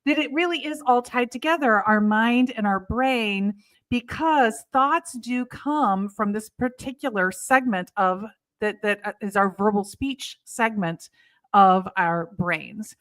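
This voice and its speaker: A woman with a fairly high voice hosts a podcast interview. She explains ideas at length and stops to think, with many fillers, and her voice sounds close and dry. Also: a little slow, fairly bright tone, no echo. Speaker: podcast host.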